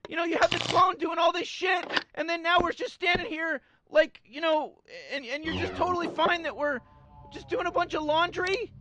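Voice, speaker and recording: A man's voice: extremely high pitch, fairly even intonation, moderate pace, noisy background. A man voicing an anxious, stammering teenage boy sidekick in a fan game playthrough, stuttering and repeating his words. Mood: exasperated. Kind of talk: fan impression during a video game playthrough.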